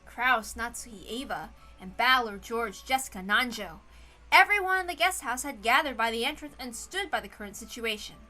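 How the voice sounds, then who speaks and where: A woman with a high, bright voice reads fiction aloud. Her delivery is informal and homemade, and she slips between narration and casual, apologetic comments of her own. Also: animated, normal speed, soft background music. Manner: informal, self-interrupting, apologetic. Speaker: amateur story reader.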